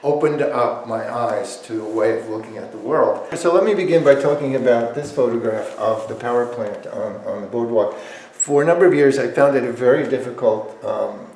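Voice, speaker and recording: A man speaking as a photographer, explaining artworks in a measured, reflective and articulate way with thoughtful pauses. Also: mid-range voice, a little mellow. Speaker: photographer explaining own exhibited work. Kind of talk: explanatory gallery talk about artworks. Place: indoor art gallery. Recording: echoey room.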